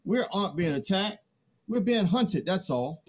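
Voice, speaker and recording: A man's voice: high voice, expressive, unhurried, a little hiss or hum. A middle-aged man in a play reading, playing a conscientious villager who pleads earnestly and hesitantly for the old ways. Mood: earnest, troubled. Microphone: computer mic on video call.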